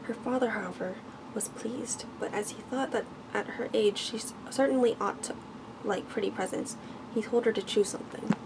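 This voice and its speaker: A soft-spoken woman reads a bedtime story in a gentle, careful ASMR style, often dropping toward a whisper. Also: on the high side, moderate pace, heavy background noise. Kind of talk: ASMR bedtime story reading, scripted narration.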